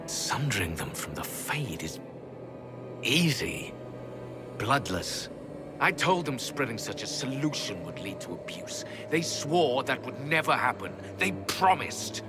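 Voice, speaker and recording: An actor playing a regretful, dignified old inquisitor, speaking in a solemn, measured, confessional way in a video game. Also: male voice, mid-range voice, big pitch swings, music in the background. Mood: remorseful.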